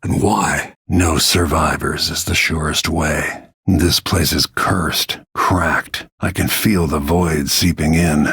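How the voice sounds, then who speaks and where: A man playing a grim, world-weary middle-aged assassin in a video game, with a gravelly, husky voice and a terse, brooding manner. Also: rumbling low, steady tone, slow pace, a bit bright, no room sound, clean studio sound, heavily acted. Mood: grim, determined. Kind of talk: scripted video game voice lines.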